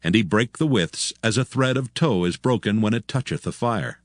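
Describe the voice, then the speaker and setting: A man's voice: on the low side, expressive, normal speed, no room sound. A man narrating scripted scripture as the storyteller of a dramatized Bible audio production.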